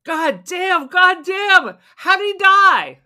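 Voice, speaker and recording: A woman's voice: very high-pitched, very animated, slow, bright, forceful tone, exaggerated voice. A woman playing an agitated, suspicious robber in an audio drama, ranting emphatically and swearing as she reasons things out. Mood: alarmed. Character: agitated, suspicious, fast-reasoning robber, foul-mouthed and paranoid.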